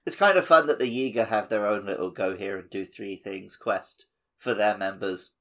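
A man's voice: lowish voice, normal speed, bright, forceful tone, a little hiss or hum. A man co-hosting a casual gaming podcast, chipping in with conversational comments. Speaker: podcast co-host.

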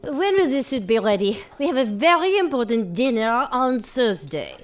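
Haughty woman, high voice, lively swings in pitch, demanding, imperious, period audio drama. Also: moderate pace. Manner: insistent, imperious. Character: haughty, demanding married female customer.

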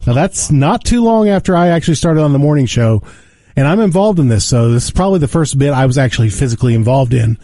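A man's voice: medium pitch, expressive, quick pace, warm tone, dry recording. A man, a radio show regular, talking in a casual, conversational style.

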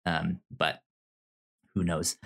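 A man hosting a review podcast in a casual, halting way, often stammering over the starts of his words. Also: low voice, completely flat delivery, vocal fry, breathy edge. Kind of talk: casual podcast chat, giving recommendations.

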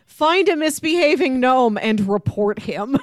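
A woman's voice: high voice, lively intonation, unhurried, no room sound, studio quality. A woman chatting casually as a guest co-host, informal and reflective in manner. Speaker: guest co-host discussing books. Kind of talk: casual chat.

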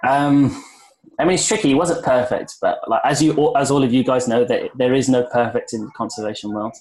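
Mid-pitched man, thinking aloud. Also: a little fast, darkish tone, poor audio. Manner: hesitant, thinking aloud, repeats phrases. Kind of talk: casual discussion.

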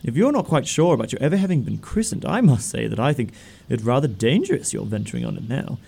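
An actor playing a greedy, flippant young English gentleman in a comic radio play, with a glib, pompous, mannered delivery. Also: medium pitch, sing-song, fast talker, vocal fry, breathy edge, full character voice. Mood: glib, argumentative.

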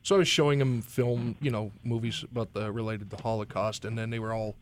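Fast-talking man telling a story. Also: lowish voice, steady tone, slight background noise. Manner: rambling storyteller with quoted asides. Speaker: podcast guest, former teacher. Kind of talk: casual panel chat.

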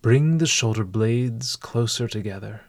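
A man narrating a scripted guided meditation in a formal, measured and eloquent manner. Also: medium pitch, a little mellow, no echo.

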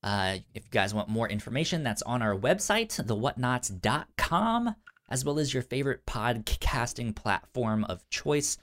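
A man co-hosting a casual podcast, speaking informally and haltingly with a stutter that repeats sounds and syllables. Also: medium pitch, big pitch swings, a bit bright. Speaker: podcast co-host.

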